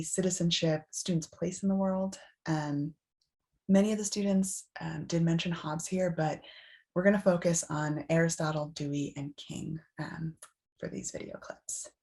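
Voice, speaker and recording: A woman facilitating a session and introducing video clips in a measured, explanatory way. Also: sits low, creaky, mellow.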